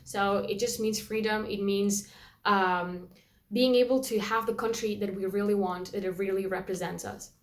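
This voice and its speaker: A woman's voice: mid-range voice, fairly even intonation, normal speed. A twenty-three-year-old woman, a student speaking fluently and earnestly. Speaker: student interviewee.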